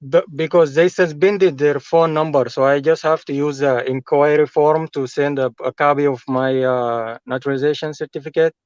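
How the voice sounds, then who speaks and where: Higher-voiced Sudanese man on muffled call. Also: fairly even intonation, moderate pace, hardly any pauses, a little mellow, dry recording. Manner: polite, hesitant non-native English. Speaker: caller. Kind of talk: conversational questions to an advisor.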